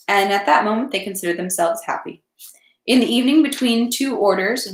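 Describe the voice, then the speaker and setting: A woman's voice: on the low side, echoey room, poor audio. A woman reading a classic novel aloud in an unpolished amateur way, with occasional stumbles. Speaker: reader of a read-aloud series. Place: indoors at home, echoey room.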